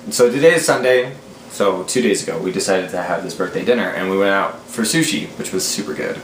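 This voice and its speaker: Casting: American male, 20s, lowish voice, animated casual storyteller, recorded in an echoey room. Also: fairly quick. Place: small room at home, indoors. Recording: roomy echo, faint background noise.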